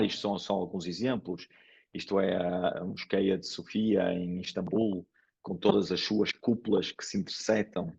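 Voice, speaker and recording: A man's voice: low voice, vocal fry, a little mellow, husky. A man with an Italian accent speaking Portuguese, giving a hesitant academic lecture full of fillers and restarts. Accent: Italian with English and Porto traces in Portuguese. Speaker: engineering professor giving a conference talk. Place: lecture hall. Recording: dull lo-fi lecture recording.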